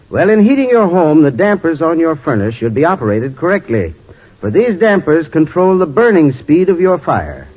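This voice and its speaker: A male spokesman delivers a vintage radio advert in a slightly high, very animated voice. His manner is folksy, courteous and persuasive, and the old recording sounds dull. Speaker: advertising spokesman, home heating expert. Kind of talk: scripted 1940s radio advertisement.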